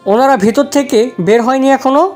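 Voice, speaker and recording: A woman's voice: high-pitched, normal speed, a little mellow, faint music behind, natural voice. A woman playing a formal, composed security force officer in an audio story. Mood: reporting. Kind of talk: dramatized audio story acting.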